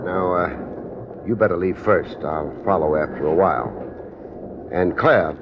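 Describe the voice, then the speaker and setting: An actor playing a cool, wry private investigator who leads and narrates a 1950s radio detective drama in a laconic, casual way. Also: male voice, low voice, measured pace, background noise. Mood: easygoing, probing. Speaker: lead and narrator. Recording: muffled 1950s radio recording, music.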